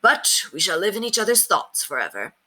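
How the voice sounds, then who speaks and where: A woman's voice: medium pitch, very animated, brisk, sharp tone, slightly rough, exaggerated voice, poor audio. A woman voicing a noble, kindly old knight, hearty and commanding, in a storybook read-aloud. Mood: warm farewell. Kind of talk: storybook character reading.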